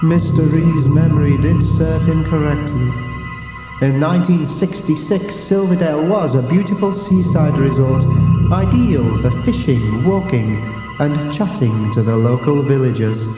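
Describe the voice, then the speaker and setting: A man's voice: fairly high pitch, unhurried. A man reading a scripted comic mystery tale as a storyteller, in a melodramatic, mock-portentous manner. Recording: echoey, long reverb, music behind. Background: music.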